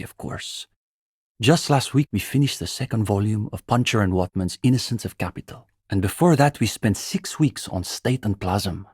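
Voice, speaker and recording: A young student revolutionary for a video game, earnest and self-important. His voice sits fairly low with a husky grain, recorded close and dry. He speaks like a bookish intellectual, precise and given to lecturing. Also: no room sound. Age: young adult. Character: earnest young male communist student, pompous intellectual zealot. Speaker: student leader of a revolutionary reading group. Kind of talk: video game character dialogue.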